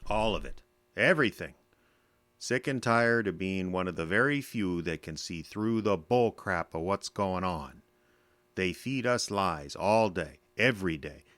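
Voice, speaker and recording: An actor playing a bitter, belligerent ex-soldier in an audio drama, talking in a gruff, sarcastic, colloquial way. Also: male voice, low-pitched, lively intonation, unhurried, a bit bright, no echo.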